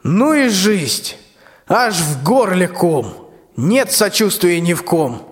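A grumpy, sly tsar played by a young man declaims rhymed radio-play verse. The voice is very high and slow, with big swoops of pitch. It complains in a querulous drawl. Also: big pitch swings, exaggerated voice. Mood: exasperated. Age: young adult. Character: grumpy, sly tsar, doting but exasperated father. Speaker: school student actor. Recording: long hall reverb.

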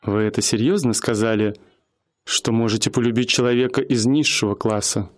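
A man's voice: lowish voice, fairly even intonation, moderate pace, natural voice. An actor playing an earnest, humble young man who works as a restaurant cashier, speaking eagerly and politely in a radio play.

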